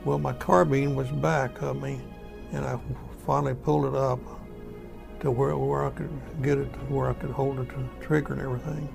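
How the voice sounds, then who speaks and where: An elderly American war veteran recounting his memories in a folksy, halting, repetitive way. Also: mid-range voice, level tone, gravelly, over music. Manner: folksy, halting, repeats himself. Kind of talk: spoken first-person war reminiscence.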